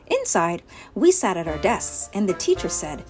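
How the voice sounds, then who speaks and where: A woman's voice: mid-range voice, sing-song, moderate pace. A woman narrating a children's storybook as host, with playful, exaggerated emphasis on descriptive words. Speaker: children's read-aloud host. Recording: music playing behind the voice. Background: music.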